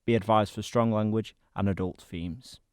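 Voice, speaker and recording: A man's voice: lowish voice, steady tone, moderate pace, darkish tone, clean tone, no echo, studio quality. A man reading a scripted introduction to a radio drama as its announcer.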